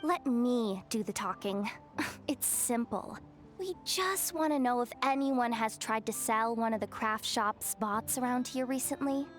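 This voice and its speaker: A woman voicing a sharp-tongued, sardonic young woman guide in a video game, speaking in a dry, commanding way. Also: a little high, measured pace, soft background music, natural voice. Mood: businesslike.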